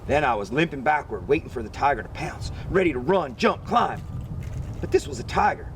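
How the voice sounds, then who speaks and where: A man reading a children's picture book aloud as an expressive narrator. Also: medium pitch, brisk, a little hiss or hum. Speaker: story reader.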